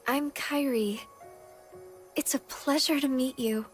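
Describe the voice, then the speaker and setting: High-pitched, reverberant young heroine. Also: female voice, steady tone, slow, faint music behind. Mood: polite, wondering. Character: earnest, polite young heroine, sweet and curious. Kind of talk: scripted video game cutscene acting.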